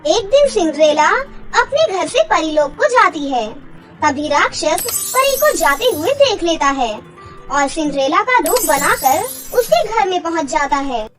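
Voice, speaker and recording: High-pitched woman narrating a children's story. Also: sing-song, a little slow, soft background music.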